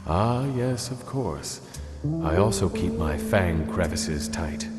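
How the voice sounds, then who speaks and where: An actor playing a courtly, brooding vampire lord in a video game, speaking in a formal, wry, deadpan way. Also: male voice, low-pitched, takes it slowly. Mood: polite, dry. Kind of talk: video game character acting. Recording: long reverb, music underneath.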